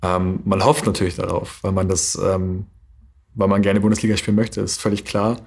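A man's voice: deep voice, monotone, normal speed, creaky, a little mellow, a little hiss or hum. A young man in his twenties from Germany, a handball player answering interview questions thoughtfully, with frequent hesitations. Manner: thoughtful, many hesitation fillers.

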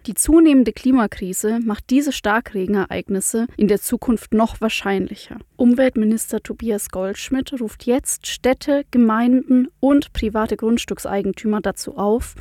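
A woman with a Franconian accent and a rolled R presents scripted news from a studio. Her voice sits a little high, and it is recorded close and dry with no room sound. She reads clearly and at a measured pace. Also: dark tone, no room sound.

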